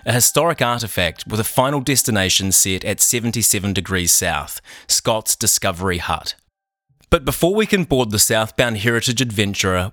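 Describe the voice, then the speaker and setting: A man's voice: medium pitch, fairly bright tone, dry recording, studio quality. A young man giving earnest, scripted documentary narration. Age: young adult. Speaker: documentary narrator and team member.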